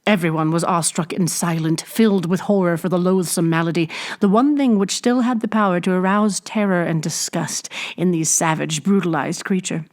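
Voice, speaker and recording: A woman reading a classic adventure novel aloud as an expressive storybook narrator. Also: on the low side, normal speed. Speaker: audiobook reader.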